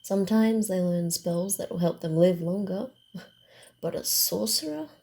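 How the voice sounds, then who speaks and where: Woman playing a sly, creaky-voiced female vampire. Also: fairly low pitch. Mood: sly, ominous. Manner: theatrical, hushed, deliberate with breathy asides. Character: sly, guarded female vampire, centuries-weary, teasing and secretive. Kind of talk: ASMR roleplay voice acting.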